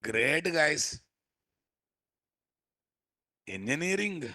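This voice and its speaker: Rough-voiced male instructor. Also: on the high side, very animated, extremely slow, bright, forceful tone, dry recording, studio quality. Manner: scolding, teasing, keeps asking class questions. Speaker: programming instructor. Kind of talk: live coding class lecture.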